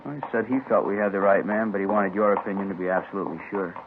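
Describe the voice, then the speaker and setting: An actor playing a steady male police inspector in an old radio crime drama, understated and matter-of-fact. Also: low-pitched, rapid-fire, background noise. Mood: casual, businesslike. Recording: muffled, phone-like vintage radio recording.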